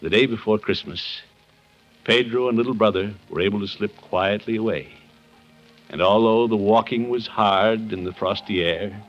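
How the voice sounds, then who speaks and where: Male actor as a jovial American uncle, middle-aged, lowish voice, avuncular radio-play storytelling, dull vintage broadcast sound. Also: natural voice. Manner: avuncular, expressive, theatrical storyteller. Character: kindly, jovial uncle playing Santa to hospitalized children. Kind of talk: 1950s radio comedy acting, storybook reading. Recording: muffled old 1950s radio broadcast.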